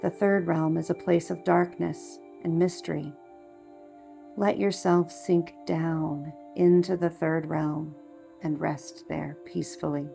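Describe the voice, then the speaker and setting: Female narrator for guided meditation: lowish pitch, creaky texture, measured and hushed scripted delivery. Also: level tone, soft background music.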